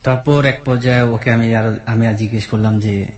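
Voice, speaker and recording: A man's voice: mid-range voice, steady tone, normal speed, a little mellow. A young man with a colloquial Bangladeshi accent telling a personal story conversationally, retelling dialogue turn by turn. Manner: retells dialogue in quoted turns. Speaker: guest telling his life story. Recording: echoey, reverberant voice.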